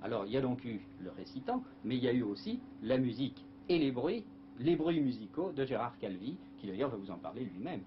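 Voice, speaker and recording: Halting man on hissy old tape. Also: mid-range voice, big pitch swings. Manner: halting, with many fillers. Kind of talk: documentary recollection. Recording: dull archive tape with hiss.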